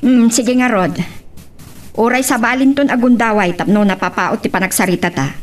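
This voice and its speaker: A woman's voice: fairly high pitch, normal speed, lightly acted. A woman with an Ilocano accent playing a bossy, nosy, scheming boss in a radio drama. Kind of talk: Filipino radio drama acting.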